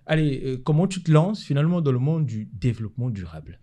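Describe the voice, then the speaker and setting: A man's voice: medium pitch, big pitch swings, fast, dark tone. A man hosting an interview, chatty and full of asides, often restarting with small fillers. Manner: chatty, adds asides and restarts with "allez, euh". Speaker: host. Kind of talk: casual interview.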